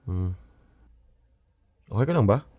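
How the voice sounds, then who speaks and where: An actor playing a caring young adult son and college student, persistent and coaxing in a radio drama. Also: male voice, lowish voice, animated, slow, mellow, no echo. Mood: concerned.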